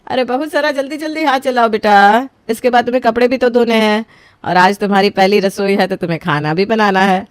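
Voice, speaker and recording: A woman's voice: fairly high pitch, brisk, smooth, no room sound, natural voice. A woman voicing a demanding middle-aged mother-in-law in a story, coaxing and bossy. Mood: bossy, hurrying.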